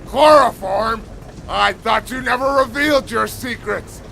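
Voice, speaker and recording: Gravelly, menacing villain. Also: male voice, extremely high pitch, a little slow, full character voice, rough recording. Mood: gloating, menacing. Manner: taunting, barking orders. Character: menacing knife-throwing villain henchman, gloating and short-tempered. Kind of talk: superhero audio drama acting. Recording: loud ambient noise under the voice.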